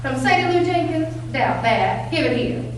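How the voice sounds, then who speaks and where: A woman's voice: high voice, animated, moderate pace. A woman playing a bossy, folksy, suspicious Southern American office supervisor in a staged play reading. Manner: folksy, scolding. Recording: echoey hall from far off, loud hum.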